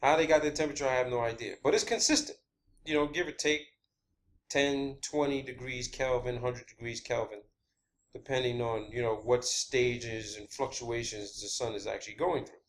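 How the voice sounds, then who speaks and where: A man hosting a video, giving a rambling, unscripted explanation of his own theories in a halting, emphatic, blunt and casual way, repeating key words. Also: mid-range voice, steady tone. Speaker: video channel host. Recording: clear background ambient noise.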